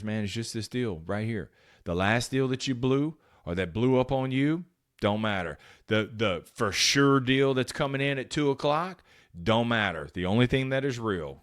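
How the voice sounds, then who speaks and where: A man hosting a motivational sales podcast, talking bluntly and emphatically in short, punchy phrases, with some profanity. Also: lowish voice. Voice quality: powerful voice. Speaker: podcast host and sales coach. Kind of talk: motivational solo talk.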